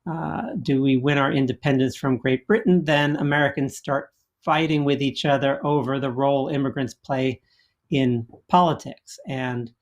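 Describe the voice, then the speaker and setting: A man explaining history on a panel in a measured, lecturing way. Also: medium pitch, steady tone, lo-fi sound.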